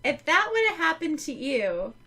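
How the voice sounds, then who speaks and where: Giggly cohost girl, kinda high voice, rambly casual podcast chat. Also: sing-song, normal speed, vocal fry, bright, forceful tone. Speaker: podcast co-host. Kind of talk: casual book-club chat.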